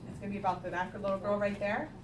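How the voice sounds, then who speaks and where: A woman's voice: mid-range voice, steady tone, rapid-fire. A woman teacher reading aloud to young children, stopping to explain and put questions to them in an instructional way. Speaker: elementary school teacher. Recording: echoey room, distant mic, noisy background.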